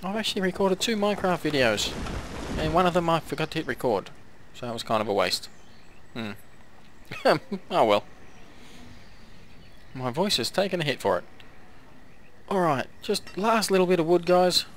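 A fairly high-voiced man talks through his game in a relaxed, chatty way, urging his little villagers on. His voice is hoarse and tired from a long day of recording. A faint hiss sits under the voice. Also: normal speed, long pauses. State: hoarse, coughing, losing his voice. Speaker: gameplay commentator. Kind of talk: casual let's-play commentary.

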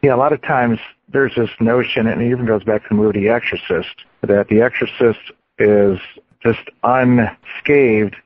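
A man's voice: mid-range voice, fairly even intonation, normal speed, dry recording. Chatty male radio co-host with a thin sound. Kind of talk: interview questions. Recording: dry, phone-like sound.

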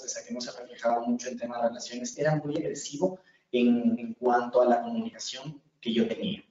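A man's voice: medium pitch, mellow. Young Ecuadorian man lecturing in an echoey room. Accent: Ecuadorian Spanish. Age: 20s. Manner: earnest, repeats words for emphasis. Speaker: communication instructor. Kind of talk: webinar lecture. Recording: echoey room, far from mic, muffled.

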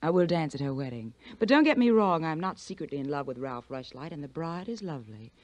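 A wry female social secretary in a 1940s radio play. She is brisk and flirtatious. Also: deep voice, expressive, a little mellow. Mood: brisk, flirty.

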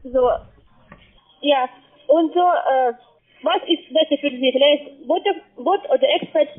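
High-pitched foreign-accented woman on tinny phone. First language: not German. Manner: halting, broken grammar. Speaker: call-center sales agent pitching trading. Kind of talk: halting scripted phone sales pitch. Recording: tinny, narrowband phone line.

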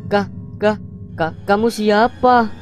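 A man acts out a young male college student in a cartoon, skeptical yet easily scared. His voice sits fairly high, with a Jakarta accent and an expressive, colloquial delivery. Light music runs underneath. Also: slow pace. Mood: terrified. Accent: Jakarta Indonesian. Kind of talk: animated cartoon dialogue.

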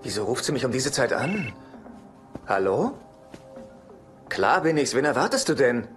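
A man's voice: mid-range voice, big pitch swings, measured pace, faint music behind. An actor playing a fussy, anxious middle-aged divorced man in a German-dubbed sitcom, easily flustered.

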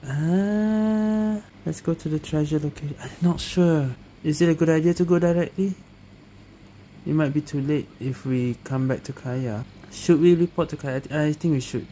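A woman doing casual gameplay commentary as a streamer, muttering halting reactions. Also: low-pitched, mellow. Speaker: gaming streamer. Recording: noisy with game ambience behind. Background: game ambience.

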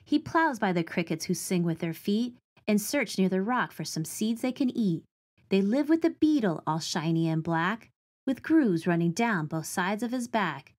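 A woman reading a picture book of rhymes and nature facts aloud to children, with expressive, clearly phrased delivery. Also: medium pitch, moderate pace.

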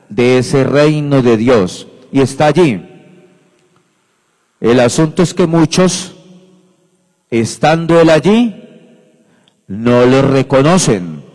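Slow-preaching priest in a reverberant church. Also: male voice, mid-range voice, a little mellow. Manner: unhurried, emphatic, repeats phrases, gestures broadly. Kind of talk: homily at Mass. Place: church sanctuary at the lectern. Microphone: handheld microphone.

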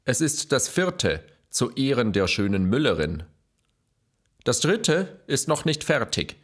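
A male actor playing a courtly, affectionate middle-aged poet reading letters and verse in a measured, formal way for a radio play. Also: mid-range voice, very animated. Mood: courtly, tender. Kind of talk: expressive literary letter and poem reading.

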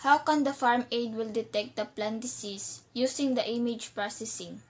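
A young woman reading out a list of research questions in a plain, even way. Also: fairly high pitch, moderate pace. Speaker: student presenter.

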